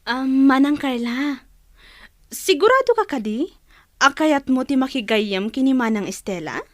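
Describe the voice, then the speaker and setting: A woman's voice: high voice, lively intonation, unhurried, no echo. An actress playing a respectful younger woman, a careful go-between, in an Ilocano radio drama. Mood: careful, concerned.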